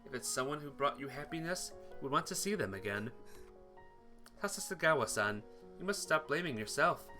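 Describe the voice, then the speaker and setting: A man's voice: a little high, lively intonation, normal speed, music in the background, natural voice. A man voicing an earnest, awkward teenage boy from a visual novel, hesitant and apologetic. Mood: gentle, earnest.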